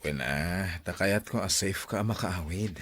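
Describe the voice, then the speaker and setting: Bright, lowish-voiced devoted young boyfriend played by a man. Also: a little slow, slightly rough, exaggerated voice. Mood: affectionate, protective. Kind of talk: audio drama acting.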